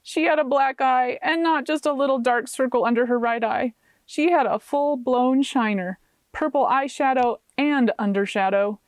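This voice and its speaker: High-voiced woman narrating a story. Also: normal speed, no room sound. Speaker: author and narrator. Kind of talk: expressive audiobook storytelling.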